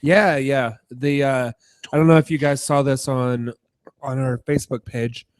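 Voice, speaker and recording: A man co-hosting a casual podcast with a hoarse, gravelly voice, wry and teasing, close on the mic. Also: medium pitch, steady tone, a little slow. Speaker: podcast co-host. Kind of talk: casual chat. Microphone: close studio microphone.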